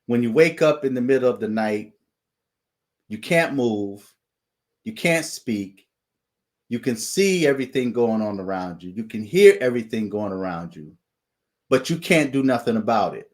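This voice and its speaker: A man, hosting his channel and recounting a dream in a slow preaching monologue, often pausing to ask "okay?". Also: medium pitch, lively intonation. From United States. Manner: deliberate, repeats "okay?" as a check-in. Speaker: channel host sharing dreams and visions.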